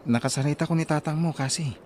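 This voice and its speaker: An earnest Filipino family man acted by a man in an Ilocano radio drama. He talks deliberately, with faint noise behind the voice. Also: on the high side, a little mellow, close to an everyday voice.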